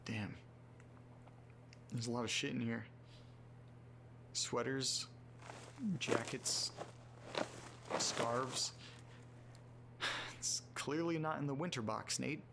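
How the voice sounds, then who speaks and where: A man playing a muddled, self-deprecating guy muttering sweary, halting asides to himself in a horror audio drama. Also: medium pitch, gravelly. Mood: weary, self-berating. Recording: noticeable background noise with hum.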